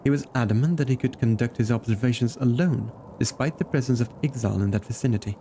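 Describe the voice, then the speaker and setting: A man from Belgium reading game dialogue aloud as a formal, cautious fantasy gentleman with measured, archaic wording. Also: medium pitch, slight background noise, natural voice. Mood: polite, earnest.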